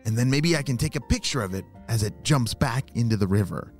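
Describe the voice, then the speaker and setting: A woman's voice: rumbling low, expressive, a little fast, a little mellow, soft background music. A woman voicing an eager, curious young boy in a children's story, with exclamations and hesitant stammers. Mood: determined.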